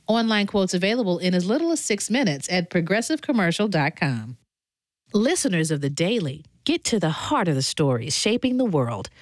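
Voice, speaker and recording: A woman's voice: on the low side, sing-song, normal speed, no room sound. A woman reading scripted sponsor messages as an announcer. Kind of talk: scripted ad read.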